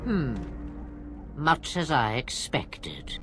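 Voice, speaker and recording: A woman's voice: extremely low pitch, very animated, extremely slow, over music, full character voice. An older woman playing a cryptic, mocking old witch in a fantasy game, theatrical and imperious. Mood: wry, dismissive. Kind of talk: fantasy video game acting.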